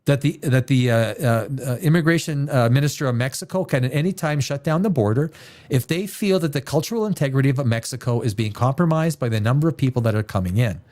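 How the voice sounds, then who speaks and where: A man's voice: mid-range voice, fast, a little mellow. A middle-aged man from Canada hosting a live show, ranting his opinions in an emphatic, profane way and repeating himself. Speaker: podcast host. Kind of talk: livestream opinion rant.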